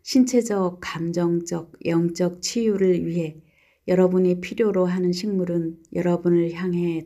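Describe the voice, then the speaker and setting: A woman reads aloud from a nonfiction book in a calm, gentle, steady way. Her voice sits a little low and sounds mellow and warm, never sharp. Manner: calm, gentle, even delivery.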